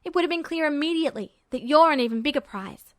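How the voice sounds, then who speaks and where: A woman's voice: high-pitched, lively intonation, fast talker, a bit bright, dry recording, clean studio sound. A woman voicing a stubborn, cocky teenage older brother with a casual, brusque manner in an audiobook story. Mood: defensive. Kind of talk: audiobook character voice.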